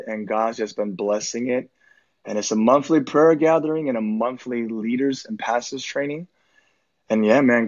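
Young minister, late twenties man, earnest and easygoing, mid pitch, slightly muffled call sound. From Korea. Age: 20s. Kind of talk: casual conversation.

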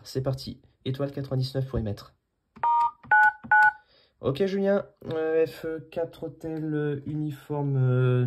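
Man, mellow mid-pitched voice, informal live demo by a radio amateur. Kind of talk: casual radio chat and live demonstration. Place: small indoor room at a desk.

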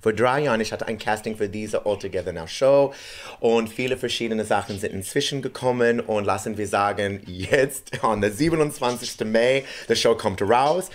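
An American man in his thirties chats animatedly on a sofa, his German halting and sprinkled with English words. His voice sits fairly low for a man. He was recorded indoors in a small room. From United States. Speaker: guest singer. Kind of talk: casual interview chat.

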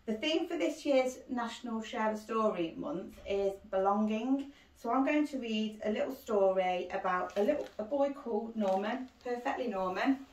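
A woman's voice: mid-range voice, a little mellow. Woman reading a storybook in a roomy space. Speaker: primary school teacher reading a storytime. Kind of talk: children's picture book read-aloud. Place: small cosy sitting room indoors. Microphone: handheld phone a short distance away. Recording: roomy echo, phone recording.

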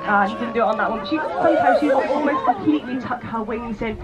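A woman's voice: fairly high pitch, fast talker. A woman giving live commentary as a falconry presenter at an outdoor display. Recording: crowd and outdoor noise, filmed from audience.

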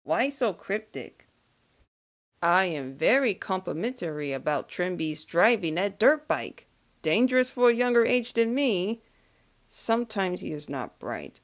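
A woman's voice: on the low side, very animated, a little slow. A woman voicing a brainy young male inventor in a cartoon demo, talking like a pedantic know-it-all. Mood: lecturing.

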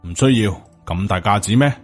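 A man's voice: fairly low pitch, brisk, faint music behind, natural voice. A man with a Hong Kong Cantonese accent voicing a proud, indignant martial uncle in an audiobook, scolding with cold sarcasm.

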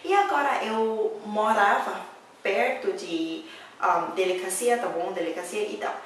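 A woman's voice: medium pitch, unhurried. Young Thai-accented woman vlogger, chatty and laughing, recorded far off in a very echoey room with faint hiss. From Thailand. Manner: chatty, laughing often, broken Portuguese. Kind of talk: casual storytelling to camera.

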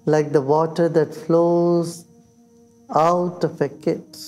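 An older man with an Indian accent guides a meditation in a soft, mellow, high-pitched voice. He speaks in a soothing, unhurried manner and leaves long pauses between phrases. Music plays behind him. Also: level tone. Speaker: meditation teacher. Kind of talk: guided meditation in English and Hindi. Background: background music.

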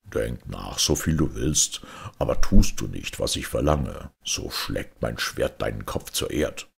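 A man's voice: rumbling low, lively intonation, a little slow, gravelly, slightly rough, pitched below the performer's own voice, heavily acted. A man voicing a commanding, threatening fairy-tale king, stern and imperious.

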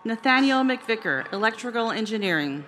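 A woman reading graduates' names and degrees at a commencement ceremony in a large outdoor stadium, pronouncing each name carefully and deliberately. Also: fairly high pitch, animated, a bit bright, a little hiss or hum.

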